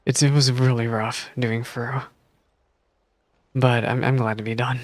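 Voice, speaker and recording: A woman streamer chatting casually while reacting to a game, halting and repeating phrases as she talks. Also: very deep voice, flat delivery, dry recording. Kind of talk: casual live reaction chat.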